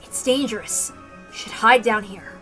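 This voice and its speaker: A woman's voice: on the high side, normal speed, a bit bright, faint music behind, lightly acted. A woman voicing a grave, urgent military man in a dramatic visual novel read-aloud.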